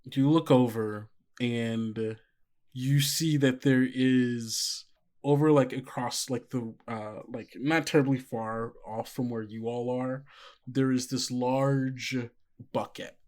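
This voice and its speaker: A game master improvising horror narration for a tabletop game in a halting, conversational way. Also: medium pitch, steady tone, a little mellow. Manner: halting, conversational, frequent 'like' and 'you know'.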